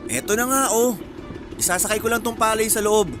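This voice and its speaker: Man playing a cheeky smitten suitor, high-voiced. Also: fairly quick, close to an everyday voice. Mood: eager. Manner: teasing, flirtatious, easygoing. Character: persistent, cheeky, smitten male suitor, hardworking rice hauler. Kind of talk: radio drama acting. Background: music.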